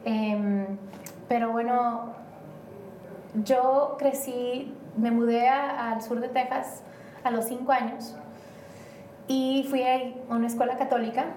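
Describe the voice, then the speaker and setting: A woman's voice: on the high side, dark tone. A woman from Mexico, a guest in an interview, talking in a rambling, hesitant way with many fillers. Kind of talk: casual interview conversation. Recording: roomy, distant, faint noise.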